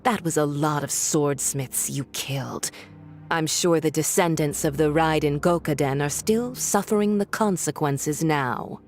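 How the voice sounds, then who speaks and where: A woman playing a cold, imperious villainess in a video game, speaking in a measured, cutting, condescending way. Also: deep voice, a little mellow. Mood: cold taunting. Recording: music behind the voice.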